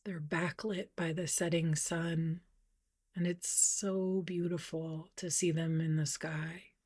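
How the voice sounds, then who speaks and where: A woman meditation teacher leading a guided practice, speaking gently and softly with unhurried pauses, at times breathy. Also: fairly low pitch, level tone.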